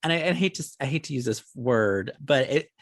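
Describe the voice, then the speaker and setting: A man's voice: mid-range voice, animated, a little fast, dry recording, studio quality. A young man hosting a casual interview as a workshop facilitator, putting questions in a conversational way.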